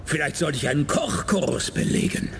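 An actor playing a cynical, flirtatious private detective in a radio play, deadpan and sardonic. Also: male voice, fairly high pitch, moderate pace, vocal fry, slight background noise, full character voice. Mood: sly, teasing. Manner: deadpan, sardonic, dry wit. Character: cynical, flirtatious male private detective narrating his case. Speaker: narrator and lead character. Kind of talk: radio play narration and dialogue. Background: music.

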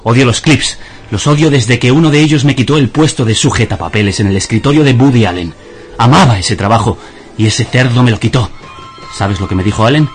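A man's voice: mid-range voice, a little fast, close to an everyday voice. An actor in a radio comedy drama playing an exasperated, vengeful man, menacing and theatrical, with an accent from Spain. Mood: bitter, ranting. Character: exasperated, vengeful would-be writer with murderous grudge.

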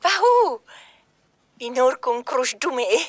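A young alien spacewoman in a science fiction radio play. Her voice is slightly husky and swings widely in pitch. She is polite, self-assured and friendly, explaining things with easy amusement. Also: big pitch swings, takes it slowly, dry recording, full character voice. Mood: excited, alien babble. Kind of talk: radio drama acting.

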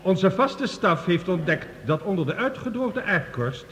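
A man's voice: high voice, sing-song, a little slow, a bit bright, heavily acted. An actor playing an earnest male scientist, giving a formal, precise lecture in a science fiction radio play. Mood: earnest, explanatory. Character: male scientist reporting Mars findings, earnest and authoritative. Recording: reverberant hall, radio drama production.

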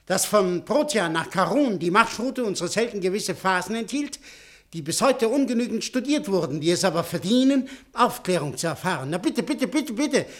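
A man's voice: sits high, expressive, normal speed, fairly bright tone. An actor playing a suspicious, domineering businessman in a German radio play, sarcastic and interrogating. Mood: scornful, sarcastic. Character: suspicious, domineering businessman convinced he is being blackmailed.

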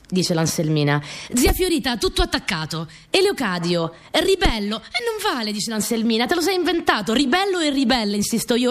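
An actress playing a young peasant girl who recounts her rural family life in simple, repetitive phrases for a radio play. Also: a little high. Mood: playful, insistent. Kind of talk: first-person dramatic storytelling.

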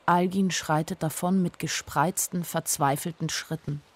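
A woman's voice: deep voice, steady tone, unhurried. An actress playing an anxious, observant young woman who narrates her own story wistfully in a literary radio drama. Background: music.

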